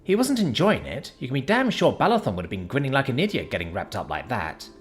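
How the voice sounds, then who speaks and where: A man's voice: mid-range voice, sing-song, fast, voice pushed up, lightly acted. A man voicing a brash young woman who teases her friend and talks bluntly. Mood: teasing. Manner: chatty, mocking, blunt.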